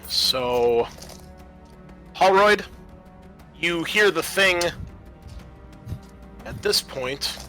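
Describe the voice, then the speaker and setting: A man's voice: mid-range voice, pushed and bright. A man narrating a tabletop horror roleplaying game as game master, casual and prone to swearing.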